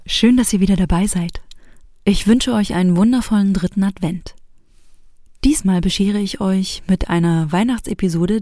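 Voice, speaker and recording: A middle-aged woman from the former East Germany, hosting a podcast with spoken introductions and relaxed interviewing. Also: mid-range voice, no room sound, clean studio sound. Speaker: podcast host.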